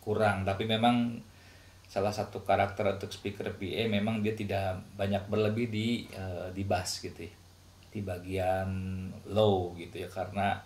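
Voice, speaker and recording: An Indonesian man hosts a casual product review in a fairly low voice. He explains things conversationally, with frequent small tag words at the ends of phrases. The room around him is clearly echoey. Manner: explanatory, conversational, often tags phrases with "ya" and "gitu".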